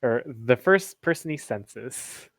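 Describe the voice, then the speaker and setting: A man's voice: mid-range voice, animated, slow pace, no room sound. A young man playing in a tabletop game, dry and sarcastic in his jokes. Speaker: player. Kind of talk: casual tabletop game chat.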